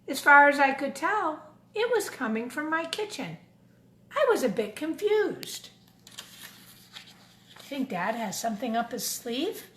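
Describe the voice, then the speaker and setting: In an echoey room, a woman with a fairly high voice reads a children's story aloud. Her delivery is expressive and deliberate, and she breaks off for questioning asides to her young listeners. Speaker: storyteller reading a picture book to children.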